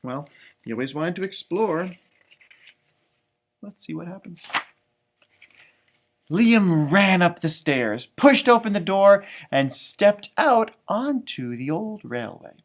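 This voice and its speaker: A man's voice: high voice, slow, pauses often. A man reading a storybook aloud to children as a teacher, expressively, with informal explanatory asides. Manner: expressive, explains words and pictures simply for children.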